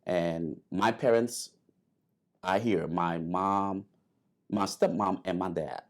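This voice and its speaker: A man from Liberia telling his story in an interview, halting with many fillers and restarts. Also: sits low, fairly even intonation. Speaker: interview guest, airman.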